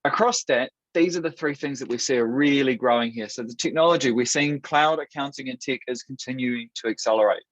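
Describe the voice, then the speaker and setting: A man from New Zealand with a Kiwi accent, hosting a product webinar in an upbeat, steady presenter manner with a few restarts. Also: mid-range voice, brisk, few pauses, no echo. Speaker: webinar host. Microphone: video call. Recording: dull dry webinar audio.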